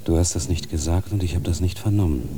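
Man acting as an older cautious monk, radio drama, very deep voice, measured warnings, noisy backdrop. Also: close to an everyday voice. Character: older cautious monk, a grave and wise teacher. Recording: distant voice with audible background noise.

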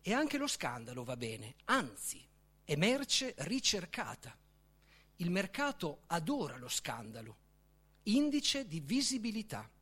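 Slow, high-pitched man reciting dramatically. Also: wildly swooping pitch, sharp tone, slightly rough. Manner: declamatory, deliberate, with emphatic pauses. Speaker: author reading own book. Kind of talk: literary reading, dramatic recitation.

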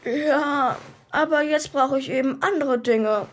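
A woman's voice: high-pitched, slow pace. A woman playing a precocious, blunt young boy in a children's radio play, with a matter-of-fact know-it-all manner.

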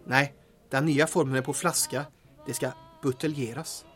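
A man's voice: mid-range voice, fairly even intonation, a bit bright, faint music behind, close to an everyday voice. An actor playing a cheeky, chatty male intelligence informant in a radio drama, speaking in wry, teasing banter.

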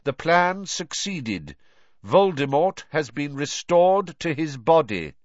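A man voicing a grave, commanding old male headmaster in an audiobook, speaking with sharp authority. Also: fairly high pitch, very animated, slow, no echo, lo-fi sound, voice pushed up. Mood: grave, insistent. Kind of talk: audiobook character voice.

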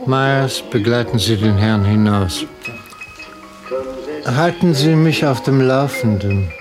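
A man's voice: mid-range voice, slow pace, lightly acted. An actor playing a dignified man of power who gives orders, in a 1960s German radio drama. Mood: polite command. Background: music.

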